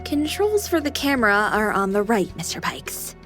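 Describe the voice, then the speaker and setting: A woman's voice: mid-range voice, very animated, normal speed, a bit bright. A woman voicing a playful young female AI companion in a sci-fi visual novel, polite with a teasing edge. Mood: calm, curious. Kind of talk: visual novel game voice acting. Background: music.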